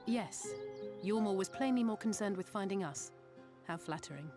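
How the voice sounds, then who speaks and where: A woman's voice: mid-range voice, normal speed, natural voice. An actress voicing a composed, dry-witted female mage in a video game. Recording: game audio under music. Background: game music.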